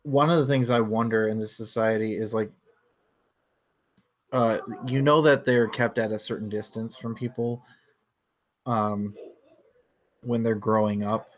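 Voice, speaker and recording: A man hosting a casual book discussion, thinking aloud in a halting way and circling back over his phrases. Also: lowish voice, steady tone. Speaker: book club discussion host.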